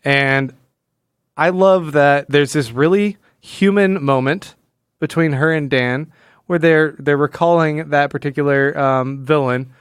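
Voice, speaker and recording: Clear-voiced man chatting, slightly high pitch. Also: measured pace, no room sound, studio quality. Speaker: podcast co-host. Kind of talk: casual comic book discussion.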